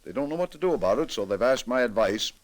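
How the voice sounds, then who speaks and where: An actor playing a grave, reflective middle-aged judge in an old-time radio drama, speaking in a measured, deliberate, dramatic way. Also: male voice, mid-range voice, expressive, lightly acted, low-quality recording. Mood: earnest. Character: grave, reflective male judge with a troubled conscience.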